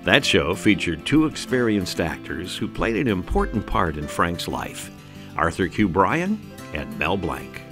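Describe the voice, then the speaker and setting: Deep-voiced guy narrating a documentary, really expressive, music underneath. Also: unhurried. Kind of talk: scripted narration.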